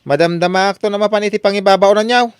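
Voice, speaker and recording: An actor playing a lazy, carefree adult son with a whining, exaggerated delivery in an Ilocano comedy radio drama. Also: male voice, high voice, steady tone, very fast, noisy background, natural voice. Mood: whiny, carefree.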